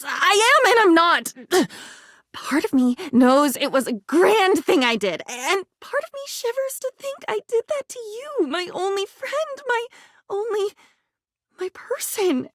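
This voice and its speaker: A woman voicing a living diary in a video game, a melancholy, defiant and poetic character with halting, theatrical, emotional delivery. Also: very high-pitched, big pitch swings, fairly bright tone, no room sound, clean studio sound, full character voice. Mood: remorseful.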